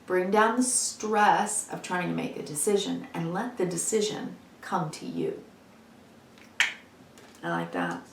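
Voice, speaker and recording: A woman giving a weekly tarot reading in a chatty, encouraging way, full of "you know"s, her voice a little hoarse from a long day of talking. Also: lowish voice, big pitch swings, unhurried, a little hiss or hum. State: losing her voice, slightly hoarse. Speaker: tarot reader. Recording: echoey room, mic at a distance.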